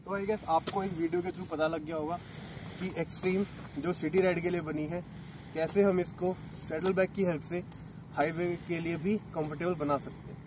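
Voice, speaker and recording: A man's voice: a little high, fairly even intonation, moderate pace, background noise. A man in his thirties, a motorcycle vlogger, chatting casually as he explains gear step by step at an open lakeshore. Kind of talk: casual product demo.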